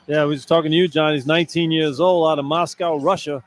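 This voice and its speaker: A man's voice: a little high, fairly even intonation, fast talker, a little hiss or hum. An American man giving informal, conversational color commentary on a sports radio broadcast, sometimes repeating himself. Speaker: color analyst.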